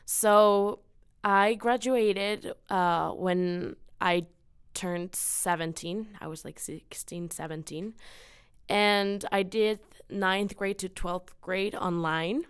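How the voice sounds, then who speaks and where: A woman's voice: mid-range voice, steady tone, slow, clean tone, no room sound, studio quality. A woman in her late teens from Mexico, giving enthusiastic, earnest, casual answers as an interview guest. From Cancun, Mexico. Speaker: podcast guest, student singer-songwriter.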